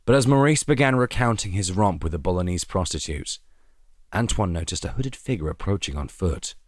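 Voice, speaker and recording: A male narrator reads a dramatic scripted tale. His voice is very low, and it is recorded dry, with hardly any room sound. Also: lively intonation, a little fast, dry recording.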